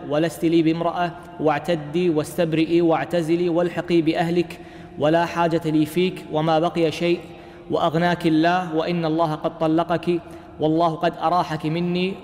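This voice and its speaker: A man reads a classical legal passage aloud for his teacher. His delivery is slow, even and nearly monotone, with a slightly raised pitch. The sound carries a long hall reverb over a faint background hiss. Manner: slow, even, deliberate reading. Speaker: student reading the text aloud. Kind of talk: measured recitation of a classical legal text.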